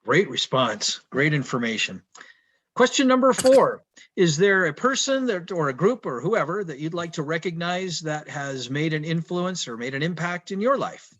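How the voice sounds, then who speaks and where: A man's voice: fairly high pitch, sing-song, moderate pace, fairly bright tone. Polite man host reading interview questions. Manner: polite, affirming, reads numbered questions.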